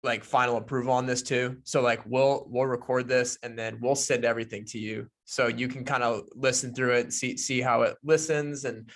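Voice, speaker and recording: A man's voice: medium pitch, flat delivery, moderate pace, few pauses, a bit bright. Easygoing male podcast host, mid-range voice. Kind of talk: casual pre-interview chat.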